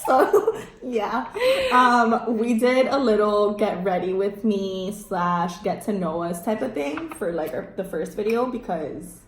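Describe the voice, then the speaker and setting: A woman's voice: mid-range voice, takes it slowly, hardly any pauses. A young woman born in Spain and raised in Miami, chatting casually and joking while she does her makeup for a vlog. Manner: playful, joking, laughs often. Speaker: vlogger. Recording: echoey room with faint noise.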